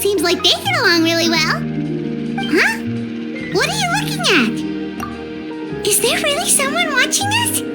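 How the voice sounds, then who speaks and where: A tiny, excitable game fairy chirps in an extremely high, squeaky voice. The childlike delivery swings up and down, with loud music underneath. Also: female voice, a little slow, long pauses, full character voice. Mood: suspicious. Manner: chirpy, refers to self in third person. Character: small excitable childlike floating fairy companion, speaks in third person. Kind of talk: video game voice acting.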